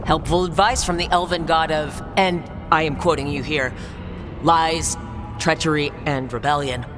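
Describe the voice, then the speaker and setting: A man's voice: fairly high pitch, steady tone, normal speed, over music, close to an everyday voice. An actor voicing a blunt, defiant young male hero who talks back with sarcasm. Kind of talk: video game dialogue. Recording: game audio, reverberant with background noise.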